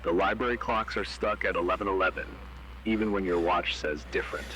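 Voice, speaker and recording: Brief: man playing a deep-voiced horror-broadcast announcer, flat and commanding, with a noisy hiss behind. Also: fairly quick. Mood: ominous. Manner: flat, ominous, commanding warnings. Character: grim male emergency broadcast announcer. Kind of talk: analog horror warning broadcast.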